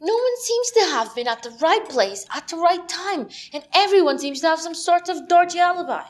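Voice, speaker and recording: A woman's voice: very high voice, expressive, a little fast. A woman playing a cheeky, earnest young lad helping investigate in an amateur comedy murder mystery, chatty and colloquial.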